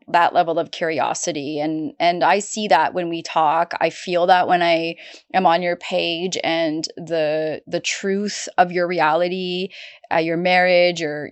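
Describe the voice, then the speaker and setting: A woman hosts a podcast and asks a guest open, curious questions. Her voice sits on the lower side and sounds smooth and resonant. The sound is close and dry. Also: dry recording. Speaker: podcast host. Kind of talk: interviewing a guest.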